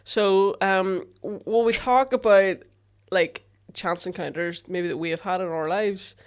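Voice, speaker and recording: A woman with a Northern Irish accent chats casually as a podcast co-host. She speaks in a middle-pitched voice, in the easy back-and-forth of informal banter. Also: fairly even intonation, unhurried. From Strabane, Northern Ireland.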